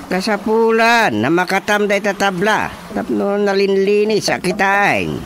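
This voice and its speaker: A man acting an inquisitive village chairman in a radio drama comedy, with an Ilocano accent and probing, drawn-out questions. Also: high voice, expressive, noisy background. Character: village chairman, man inspecting carpentry tools with growing suspicion.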